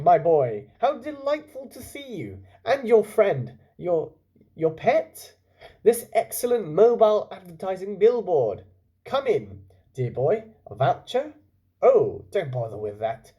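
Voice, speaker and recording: An effusive restaurateur uncle from a children's storybook, acted out by a man reading aloud. He gushes like a showman, with a lively, swinging pitch and a rounded, mellow tone. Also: slightly rough, full character voice. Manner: gushing, showman-like.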